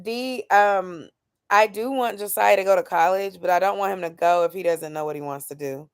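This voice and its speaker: A woman's voice: mid-range voice, normal speed, clear, resonant tone, no room sound, studio quality. A woman in her late twenties, chatting candidly and thinking aloud about her plans while answering comments from her viewers. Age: 20s. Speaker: YouTuber doing a weekly check-in. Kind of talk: casual chat with a live chat.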